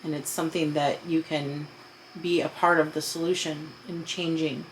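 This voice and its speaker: A woman's voice: deep voice, level tone, moderate pace, low-quality recording. An adult woman talking casually with a child, patiently explaining and asking questions. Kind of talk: casual conversational discussion with a child.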